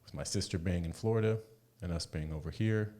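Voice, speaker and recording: Low-voiced man chatting casually. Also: brisk, dark tone. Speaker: podcast co-host. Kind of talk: casual chat.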